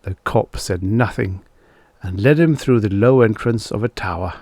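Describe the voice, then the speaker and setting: A man reading a story aloud as an audiobook narrator, in a measured, deliberate manner. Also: on the low side, a little mellow, dry recording.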